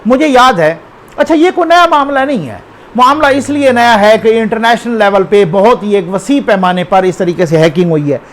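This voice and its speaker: A man with a Pakistani accent and a notably high-pitched voice hosts an informal news commentary. He speaks off the cuff in a conversational way, often putting rhetorical questions to the viewer and checking that they follow. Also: animated, normal speed.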